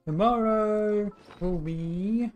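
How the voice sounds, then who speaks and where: A woman's voice: medium pitch, extremely slow, darkish tone, soft background music. A woman chatting casually as she streams a video game. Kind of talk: casual gameplay chat.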